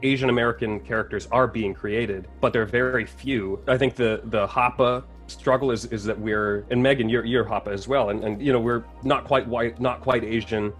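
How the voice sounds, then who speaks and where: A man's voice: medium pitch, steady tone, moderate pace, faint music behind. A man giving an earnest, articulate answer as a guest in a panel discussion. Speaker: talk-show guest.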